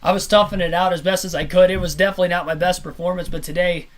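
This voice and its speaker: A man with a hoarse, slightly nasal and raspy voice from sinus congestion, giving energetic, chatty play-by-play commentary on a race from a home streaming setup. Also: high-pitched, very fast, a little hiss or hum. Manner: energetic, chatty, self-correcting. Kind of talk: amateur play-by-play commentary over a race broadcast.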